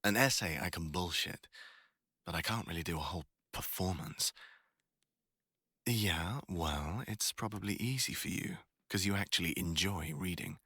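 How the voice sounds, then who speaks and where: A man acts out a young college crush with a very low, bright voice that is a little rough at the edges. He talks in a casual, slangy, sweary way, teasing the listener and griping about poetry like a bored student in a boyfriend roleplay. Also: dry recording, studio quality, heavily acted. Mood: admiring, self-deprecating. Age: young adult.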